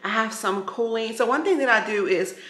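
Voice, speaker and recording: A woman's voice: a little high, a little fast, a bit bright, a little hiss or hum. A middle-aged woman vlogger chatting informally and directly to her viewers in a home kitchen. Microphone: camera at arm's length. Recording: roomy echoing kitchen.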